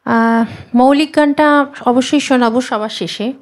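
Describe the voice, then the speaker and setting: Slightly high-voiced woman, singer in conversation. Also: normal speed. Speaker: singer, guest on a music chat show. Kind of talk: casual chat.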